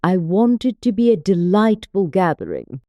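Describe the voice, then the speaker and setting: A woman's voice: mid-range voice, very animated, moderate pace, mellow, no echo, studio quality, close to an everyday voice. A woman voicing a gushing, sociable old English society lady in an audiobook. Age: older. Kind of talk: audiobook character voice.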